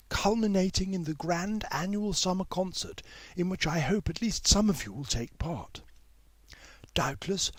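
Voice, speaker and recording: A man voicing a brisk, gracious woman music teacher in a storybook, with a trilling, formal, theatrical manner. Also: high-pitched, slightly rough, no echo, voice pushed up. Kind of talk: storybook character voice.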